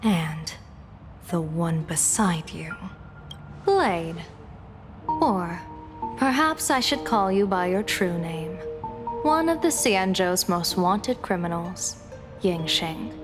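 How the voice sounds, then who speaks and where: A woman playing a coolly composed female general in a game cutscene, speaking formally and with measured authority. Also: on the low side, sing-song. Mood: cold, accusing. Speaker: military general. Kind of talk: dramatic game cutscene acting. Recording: dramatic music behind.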